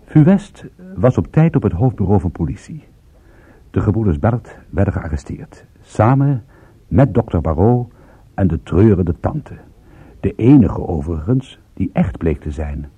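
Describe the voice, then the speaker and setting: A wry private detective in a radio play, with a very husky voice that moves freely up and down. He tells his case in a measured, dryly amused way. The recording sounds narrow and phone-like, like old radio. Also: on the low side, no echo, full character voice. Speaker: narrator and lead actor. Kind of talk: radio drama narration.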